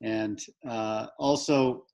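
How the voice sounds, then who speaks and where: A man with a mid-pitched voice hosts a spiritual book discussion. He speaks conversationally and haltingly, often repeating a word or two while he looks for the right phrase. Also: fairly even intonation, darkish tone. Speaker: book club host.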